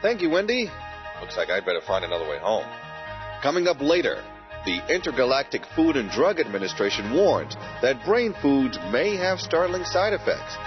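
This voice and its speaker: Man playing an animated male space news anchor. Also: medium pitch, moderate pace, lightly acted. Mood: breezy. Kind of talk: mock TV news reading. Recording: slightly dull, music behind.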